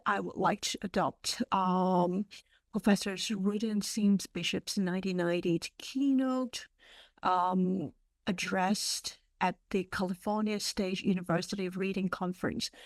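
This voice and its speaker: A woman whose first language is Cantonese, presenting a library webinar in a measured way with frequent fillers. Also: mid-range voice, dry recording. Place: online webinar.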